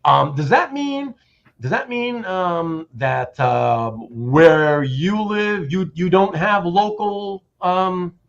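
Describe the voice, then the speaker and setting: High-pitched, very animated man hosting. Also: gravelly. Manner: halting, repeats words and phrases. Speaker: host of a live show. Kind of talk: casual livestream chat. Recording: dull, muffled lo-fi audio.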